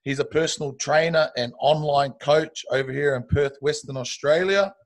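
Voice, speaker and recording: Kiwi male podcast host, relaxed questioner, voice a touch higher than most men. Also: moderate pace. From New Zealand. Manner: laid-back, repeats short affirmations. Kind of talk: casual podcast interview.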